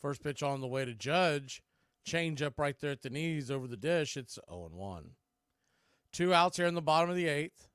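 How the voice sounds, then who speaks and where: A man's voice: a little high, lively intonation, moderate pace, a bit bright, smooth, no echo, clean studio sound. A man doing live baseball play-by-play as announcer and host, conversational and opinionated.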